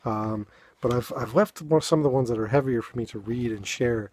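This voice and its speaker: A man with a New England accent from Boston, a poet talking casually to his viewers in a halting way with many fillers, then reading poems aloud. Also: mid-range voice, darkish tone. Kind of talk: casual chat and poetry reading.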